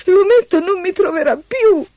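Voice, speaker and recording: A woman's voice: mid-range voice, expressive, unhurried, pitched above the performer's own voice, close to an everyday voice. A woman voicing an anxious young woman in a fairy tale, plaintive and pleading. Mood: tearful, desperate. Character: anxious young woman, pleading miller's daughter turned queen. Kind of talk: fairy-tale storybook character voice.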